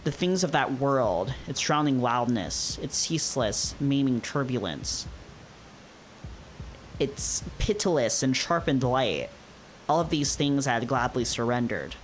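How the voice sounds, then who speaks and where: Guy doing a brooding visual novel narrator, solemn and measured, some noise behind. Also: medium pitch, expressive, lightly acted, rough recording. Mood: solemn, grave. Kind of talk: dramatic literary read-aloud narration.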